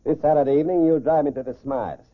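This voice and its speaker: An actor playing a confident, calculating gentleman swindler in a 1940s American radio crime drama. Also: fairly high pitch, fast talker, lightly acted. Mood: cool, scheming. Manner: self-assured, calculating, clipped orders. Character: smooth, confident gentleman swindler posing as society golfer. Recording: muffled, phone-like 1940s radio recording.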